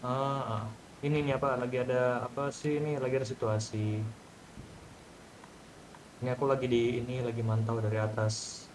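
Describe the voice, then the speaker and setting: A man's voice: medium pitch, flat delivery, moderate pace, pauses often, background noise. A man chatting casually in a roleplay game, with a protective, checking-in way of talking. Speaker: roleplay gamer. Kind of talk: casual in-character roleplay chat.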